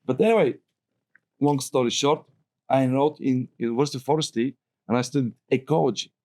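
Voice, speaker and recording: Bulgarian-accented man, middle-aged, lively rambling guest storyteller. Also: medium pitch, fast. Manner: animated, rambling storyteller who laughs often. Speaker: guest filmmaker. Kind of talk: casual roundtable chat.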